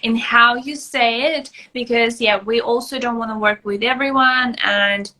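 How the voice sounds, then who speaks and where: A woman's voice: a little high, steady tone, measured pace, bright, forceful tone. A Russian-born woman with a mixed American-British accent, speaking hesitantly in a casual podcast chat. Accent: mixed American and British, Russian traces. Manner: hesitant, many "um" and "uh".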